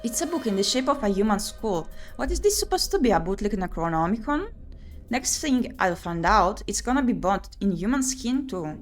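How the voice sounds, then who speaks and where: A woman's voice: mid-range voice, big pitch swings, moderate pace, natural voice. A woman voicing an uneasy, sardonic young female explorer in a visual novel, with dramatic, wry self-talk. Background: game music.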